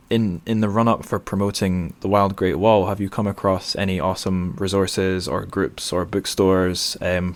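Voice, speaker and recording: Low-pitched man, chatty and informal. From northern Britain. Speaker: podcast host interviewer. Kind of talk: casual conversational interview.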